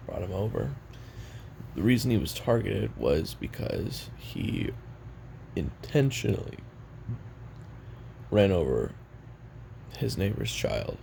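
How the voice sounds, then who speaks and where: A man performs a brooding hitman confessing on tape in an audio drama. His voice is extremely low and heavily fried, halting and weary with regret, yet it moves up and down a good deal as he recounts his past. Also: warm tone, a little hiss or hum, exaggerated voice. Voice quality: gravelly, creaky. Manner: halting, brooding, casually profane. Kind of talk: audio roleplay monologue, taped confession.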